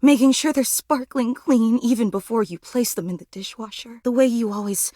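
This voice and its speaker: A woman's voice: a little high, normal speed, warm tone, no room sound, studio quality, lightly acted. A woman voicing a nervous, starstruck female electric fan in a video game, gushing and rambling in a flustered, dramatic way. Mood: adoring. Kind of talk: animated video game character voice acting.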